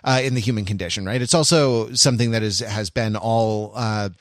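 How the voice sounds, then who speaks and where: A man in a casual analytical podcast discussion, talking in a halting, rambling way as he thinks aloud. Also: fairly low pitch, no echo, clean studio sound. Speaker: podcast panelist.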